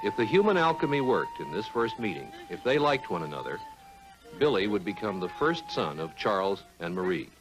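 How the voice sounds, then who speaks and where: A man giving formal, measured scripted film narration, telling a story solemnly. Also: lowish voice, sing-song, low-quality recording. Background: music.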